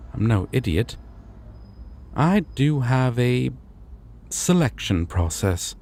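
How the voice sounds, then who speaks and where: Ancient male deity of dreams for audio roleplay, played by a man: composed, formal, gravelly, with expressive swings in pitch. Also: exaggerated voice. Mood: dry, stately. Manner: formal, theatrical, unhurried with deliberate pauses. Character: ancient male god of dreams, courteous, composed, world-weary. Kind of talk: scripted audio roleplay addressed to the listener.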